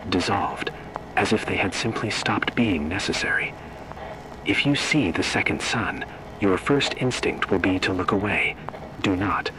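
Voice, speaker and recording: A man reading scripted analog horror narration in a solemn, deliberate, ominous manner. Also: low voice, background noise.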